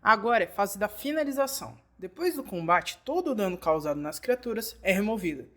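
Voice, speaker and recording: A Brazilian Portuguese narrator delivers a scripted game tutorial. The voice sits at a medium pitch and speaks straight to the learner in an instructive way, as in a fan dub. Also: big pitch swings, a little fast, fairly bright tone. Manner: instructive, addressing the learner directly.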